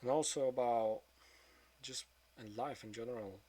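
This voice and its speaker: A man of twenty-two speaking in a frank, subdued confessional monologue, swearing often and trailing off into quieter mutters. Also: medium pitch, moderate pace, slight background noise. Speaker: vlogger.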